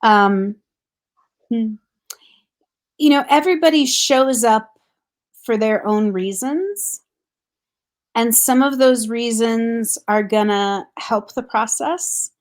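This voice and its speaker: A woman's voice: a little high, takes it slowly, long pauses. Earnest woman reflecting on caregiving. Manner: thoughtful, earnest, laughs softly. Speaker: grief counselor guest. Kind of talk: reflective casual conversation.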